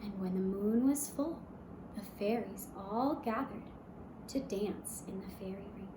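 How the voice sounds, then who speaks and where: A woman storyteller narrating a fairy tale for young children in a gentle, measured manner with short pausing phrases. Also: medium pitch. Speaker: puppet show storyteller. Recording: roomy echo, clear background noise.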